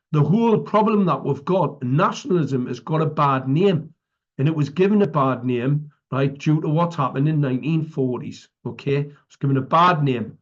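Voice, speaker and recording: A man with a British accent giving an impromptu public address as an activist, emphatic and preaching, often checking in with short tags. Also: a little high. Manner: emphatic, preaching, tags statements with "right" and "okay". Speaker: activist speaker. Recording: dull lo-fi audio.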